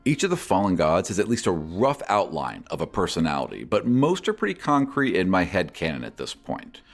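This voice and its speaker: A man hosting a podcast, reading scripted fantasy lore and answers in a measured storyteller's manner. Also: low-pitched. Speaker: podcast host and game master. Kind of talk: scripted narration answering listener questions.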